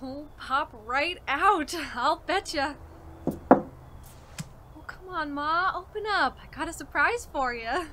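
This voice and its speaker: A woman's voice: high voice, animated, slow pace, heavy background noise. A woman playing an eager, naive young husband with a folksy, coaxing manner in a radio drama. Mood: cheerful, pleading.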